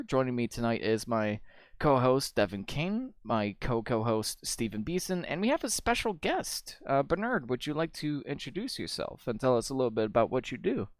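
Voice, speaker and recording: A man hosting a casual film podcast who talks in a lively way and laughs often. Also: mid-range voice, clear, resonant tone, dry recording, clean studio sound. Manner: lively, laughs often, sing-song opener. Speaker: podcast host.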